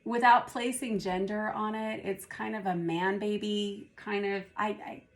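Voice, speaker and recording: A hoarse woman who is losing her voice, doing a casual tarot reading and thinking aloud as she turns the cards. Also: mid-range voice, fairly even intonation, a little slow. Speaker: tarot reader.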